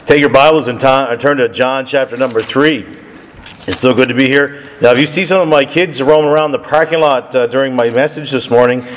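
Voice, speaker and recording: A man in his thirties, hoarse after a camp week, opening a sermon as guest preacher in a friendly, chatty way. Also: mid-range voice. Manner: friendly, chatty, with frequent fillers. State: hoarse, deeper than usual. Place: church sanctuary. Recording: church hall reverb.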